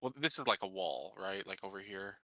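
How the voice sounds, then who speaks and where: A man with a low but strikingly bright voice chats as a tabletop game player. He plans his moves aloud in a casual way. The sound is dry and close. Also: lively intonation, brisk, dry recording.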